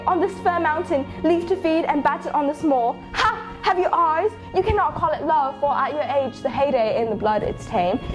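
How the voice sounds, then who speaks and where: Casting: impassioned, accusing young prince female performer, high voice, Shakespearean stage drama, music underneath. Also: poor audio. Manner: declamatory, impassioned. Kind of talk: dramatic Shakespeare reading, amateur.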